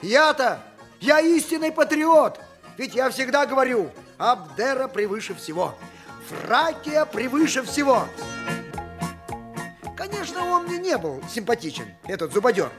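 A man's voice: very high-pitched, sing-song, measured pace, a bit bright, faint music behind, full character voice. A man playing an indignant, boastful donkey driver who grumbles and declaims in an audio drama. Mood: scornful. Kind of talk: theatrical audio drama monologue.

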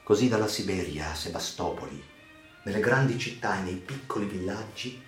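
Deep-voiced man narrating theatrically. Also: expressive. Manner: theatrical, emphatic, measured dramatic delivery. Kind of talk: dramatic scripted literary narration for radio theatre. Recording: roomy echo with music underneath. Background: music.

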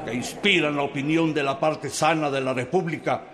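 An actor playing a stern revolutionary leader giving a formal, grave speech to assembled officers in a radio drama. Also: male voice, a little high, fairly even intonation, moderate pace, big-room sound, over a noisy crowd, heavy background noise, close to an everyday voice. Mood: solemn. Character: stern aging revolutionary leader resigning command.

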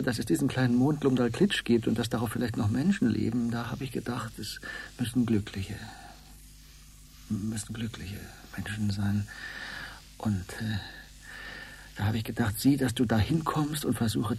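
Gravelly, husky, hesitant space traveller. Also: male voice, mid-range voice, steady tone, moderate pace, a little mellow. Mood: wistful, disillusioned. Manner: hesitant, stammering, repeats words. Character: flustered, world-weary male space traveller from Earth, bound captive. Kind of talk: German radio drama acting.

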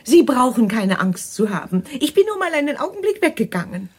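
An actress in a radio drama playing a fussy, motherly, superstitious governess with a breathy, reassuring way of talking. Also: fairly high pitch, fairly quick, no echo. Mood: flustered, reassuring. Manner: fussing, reassuring, animated.

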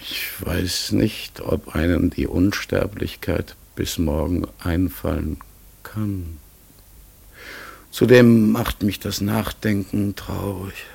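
An actor playing a melancholy, pondering raven in a radio play, halting and brooding, with a husky, gravelly voice. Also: male voice, low voice, a little mellow, full character voice. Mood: brooding sadness. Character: melancholy, pondering raven in human life, gentle and troubled. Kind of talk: German radio play acting.

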